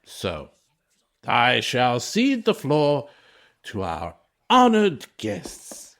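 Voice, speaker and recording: An actor playing a genial, ceremonious middle-aged merchant hosting guests in an audio drama, with music underneath. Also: male voice, medium pitch, huge pitch swings, drawn-out words, fairly bright tone, full character voice. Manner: hearty, ceremonious, chuckling. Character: jovial, genial wealthy merchant host, proud Monsieur Morel.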